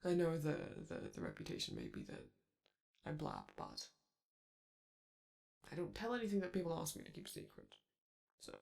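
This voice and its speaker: Guy playing a glam male robot diva, sing-songy swoopy voice, gravelly edges, keeps saying darling. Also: extremely low pitch, wildly swooping pitch, moderate pace, long pauses, a little mellow, full character voice. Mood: coaxing, flirty. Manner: theatrical, coaxing, calls listener darling. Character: flamboyant glamorous male robot star, doting. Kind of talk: ASMR romantic roleplay.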